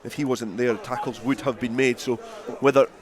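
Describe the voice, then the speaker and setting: A man calls a rugby match live for radio. He is the play-by-play commentator, working outdoors at the ground with a mid-pitched voice. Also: fairly even intonation, normal speed.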